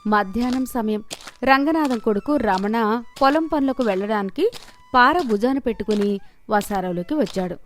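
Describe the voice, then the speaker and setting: A woman's voice: on the high side, normal speed, a little hiss or hum. A woman narrating a scripted moral story.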